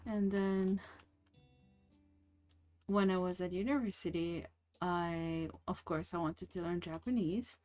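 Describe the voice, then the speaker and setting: A woman's voice: lowish voice, a little mellow, faint music behind. A young woman whose first language is French, chatting casually and rambling with fillers while she streams a game. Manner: slow, rambling, many fillers and restarts.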